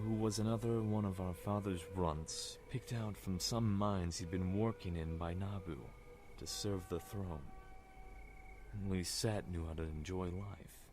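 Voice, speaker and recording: A man plays an Egyptian prince telling his own story in scripted audio drama narration. He is low-pitched, wry and reflective, with music clearly playing behind him. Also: lightly acted. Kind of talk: scripted first-person narration.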